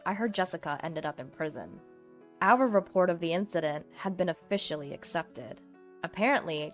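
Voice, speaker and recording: A woman reading a scripted first-person story as its narrator. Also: lowish voice.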